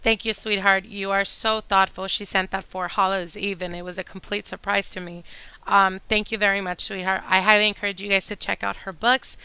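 A woman reading tarot cards for her channel, chatty and addressing viewers directly, her voice a little hoarse from a long day. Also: medium pitch, flat delivery, brisk, no echo, poor audio. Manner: chatty, warm address to viewers, whispers during shuffling. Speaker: tarot reader. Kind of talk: casual tarot reading monologue. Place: indoor table with candles and decor.

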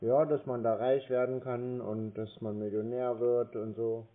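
Casting: man, mid-pitched, narrow telephone sound, casual but confrontational, blunt and provoking. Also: level tone, measured pace. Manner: blunt, needling, dismissive. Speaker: scam-baiting YouTuber taking calls from call centres. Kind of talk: casual confrontational phone chat. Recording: band-limited phone call audio.

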